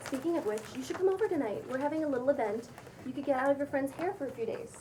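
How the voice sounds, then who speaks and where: A woman's voice: sits high, rapid-fire, background noise, lightly acted. A woman playing a self-assured, breezy young spiritual influencer in a table read, casual and a little preachy. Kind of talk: cold table read of a pilot script.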